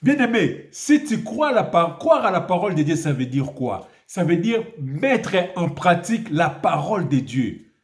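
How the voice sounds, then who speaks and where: A priest preaching a video sermon, with a cold and an emphatic style full of rhetorical questions. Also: male voice, a little high, big pitch swings, moderate pace. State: has a cold. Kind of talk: video sermon with prayer and Bible reading. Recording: echoey room.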